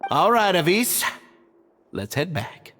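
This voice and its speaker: An actor playing a slick, falsely humble society leader in a video game, with formal, theatrical and pleading politeness. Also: male voice, high-pitched, very animated, takes it slowly, fairly bright tone, exaggerated voice. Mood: politely firm. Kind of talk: dramatic video game voice acting. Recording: long hall reverb, faint noise.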